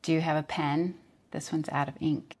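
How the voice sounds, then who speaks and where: A woman's voice: deep voice, little pitch movement, moderate pace, darkish tone, natural voice. A woman playing a polite, matter-of-fact teenage counter girl in a script read over a video call. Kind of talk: comic screenplay table read. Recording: noisy home video-call audio.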